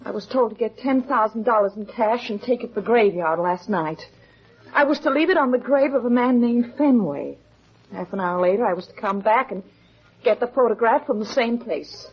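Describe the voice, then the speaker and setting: Anxious young female suspect, defensive, breathless, halting delivery, husky, muffled 1950s radio recording. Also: a little high, animated. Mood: anxious confession. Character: frightened, defensive young woman, a murder suspect. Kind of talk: 1950s radio melodrama acting.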